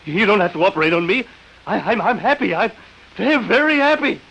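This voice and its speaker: A man's voice: high voice, animated, brisk, gravelly, pushed and bright, exaggerated voice, low-quality recording. An actor playing an agitated, despairing man in an old radio drama, speaking with stammering intensity. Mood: panicked. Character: agitated, despairing man horrified by robot rule. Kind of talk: 1950s radio drama acting. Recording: old radio broadcast recording.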